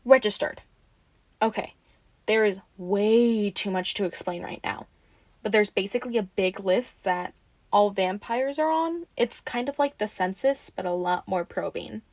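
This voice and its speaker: A woman's voice: mid-range voice, measured pace, no room sound. A woman playing a teasing, bossy but caring vampire in an audio roleplay, talking informally and expressively to the listener. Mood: flustered, explaining. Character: teasing, sassy, caring female vampire taking in a newly turned one.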